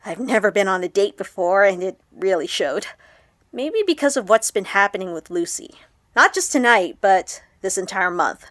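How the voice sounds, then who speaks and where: A woman playing an awkward, excitable young woman vlogger in an audio drama, chatty and expressive. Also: mid-range voice, normal speed, no room sound, studio quality, close to an everyday voice. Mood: wistful, confiding. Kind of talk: audio drama acted as a confessional vlog.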